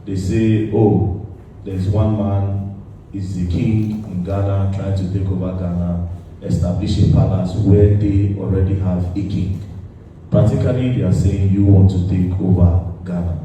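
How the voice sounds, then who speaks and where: A man's voice: sits low, steady tone, measured pace, warm tone. A man hosting an interview in a chatty, loose way, restarting his questions as he goes. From Nigeria. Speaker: interviewer and video host. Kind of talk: casual interview. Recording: echoey room, voice far from the mic, faint noise.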